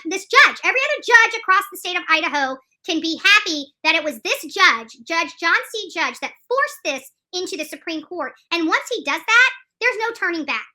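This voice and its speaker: A woman giving live, opinionated legal commentary, emphatic and halting, repeating phrases for stress and often restarting her sentences. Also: very high-pitched, sharp tone. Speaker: streamer commenting on court news.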